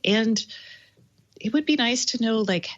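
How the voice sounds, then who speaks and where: A woman's voice: fairly high pitch, a little slow, dull audio. A woman speaking as a tech expert guest, explaining things in an informal, conversational way. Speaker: tech journalist and podcaster, guest. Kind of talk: casual expert interview talk.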